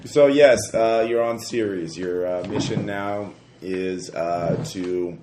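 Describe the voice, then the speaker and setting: A man with a stuffy, slightly hoarse voice from a cold, narrating a tabletop game as game master in a rambling way, full of fillers and restarts. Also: on the low side, slow pace. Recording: roomy echo, faint noise.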